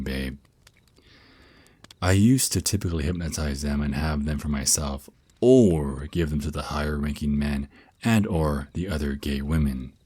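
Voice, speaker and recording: A man playing a centuries-old vampire naga boyfriend, a tender mentor speaking slowly and soothingly in an intimate ASMR roleplay, with a very deep, husky voice. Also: darkish tone, dry recording, full character voice. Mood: reassuring, playful. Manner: slow, soothing, teasing endearments.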